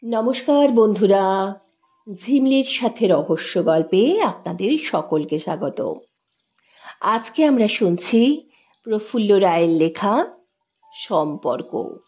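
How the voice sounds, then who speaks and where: A woman's voice: mid-range voice, expressive, slow, long pauses, warm tone, muffled recording. A woman narrating an audio story as its host, in a scripted, dramatic storytelling style.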